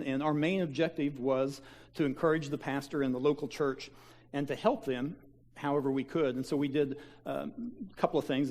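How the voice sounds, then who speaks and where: Folksy pastor with halting delivery. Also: male voice, fairly high pitch, darkish tone. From Kansas. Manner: folksy, halting, with many fillers and restarts. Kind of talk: church mission-trip slideshow talk. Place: church sanctuary.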